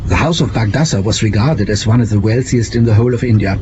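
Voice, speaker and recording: A man's voice: lowish voice, fast talker, lo-fi sound, background noise, lightly acted. An actor playing a bookish, hesitant male archaeology professor who relishes gruesome detail in a period mystery audio drama. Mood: scholarly, reminiscing. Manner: hesitant, donnish, pedantic.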